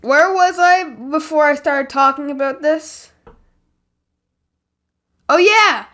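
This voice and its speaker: A woman's voice: high voice, slow pace, fairly bright tone. A woman giving casual scripted commentary on video games in a sarcastic, ranting manner. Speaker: video game reviewer. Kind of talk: casual scripted commentary over game footage.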